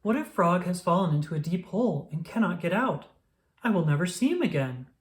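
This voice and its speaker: A reader voicing a fretful, excitable male toad, an anxious and loyal friend, in a children's storybook. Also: mid-range voice, brisk, natural voice. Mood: worried, panicked. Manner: worried, exclamatory. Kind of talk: children's storybook read-aloud.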